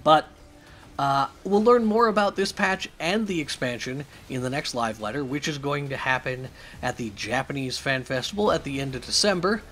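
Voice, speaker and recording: A man hosting a channel, recapping game news with opinions and many fillers, his voice raspy and hoarse from a cough. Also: medium pitch, animated, moderate pace, a bit bright. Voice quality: raspy, strained. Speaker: YouTube channel host.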